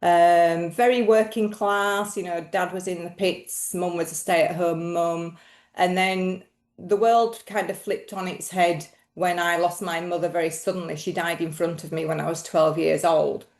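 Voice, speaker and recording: A woman's voice: fairly low pitch, steady tone, measured pace, a little mellow. A woman from England, explaining her field earnestly as a podcast guest. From Northern England. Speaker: guest expert. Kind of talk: podcast interview. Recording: roomy echo.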